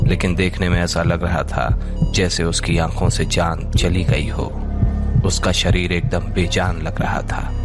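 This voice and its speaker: A man reading a scripted audio story as its narrator. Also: deep voice.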